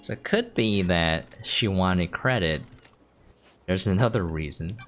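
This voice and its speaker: A man's voice: sits low, a little slow, fairly bright tone. A man giving casual gameplay commentary, thinking aloud as he goes. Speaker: game streamer. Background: game music.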